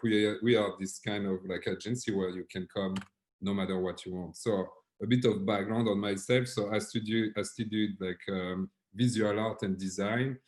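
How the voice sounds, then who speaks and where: A man from Belgium with a French accent, giving an online workshop presentation in a relaxed, filler-heavy way over a video call. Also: fairly low pitch. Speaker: workshop presenter, creative director.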